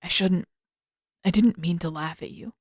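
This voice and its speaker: A woman's voice: lowish voice, moderate pace, breathy edge, no room sound. A woman voicing a guarded, grumpy teenage prince in a fantasy story, terse and dry in manner. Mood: guarded, awkward.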